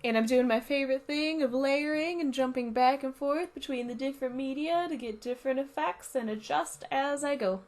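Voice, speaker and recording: A woman talking over a drawing video, slightly raspy from a cold, chatty and self-critical as she explains each step. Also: high voice, moderate pace, few pauses, darkish tone. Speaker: art channel host. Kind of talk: voice-over narration of a drawing process.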